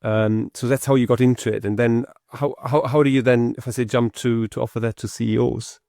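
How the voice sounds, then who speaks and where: Gravelly man, halting questions. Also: mid-range voice, fairly even intonation, warm tone, dry recording, studio quality. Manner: halting, repeats words when asking questions. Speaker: podcast host. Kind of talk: casual interview questions.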